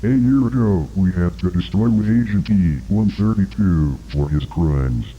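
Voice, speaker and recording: Man acting as muffled, deep-voiced evasive commander. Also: measured pace, dark tone, slightly rough. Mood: guarded, formal. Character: evasive male agency commander under interview. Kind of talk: scripted analog horror dialogue.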